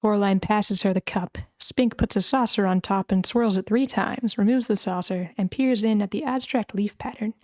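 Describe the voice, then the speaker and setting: A woman's voice: mid-range voice, normal speed, hardly any pauses, a little mellow, no echo. A woman reading screenplay scene directions aloud as the narrator of a table read.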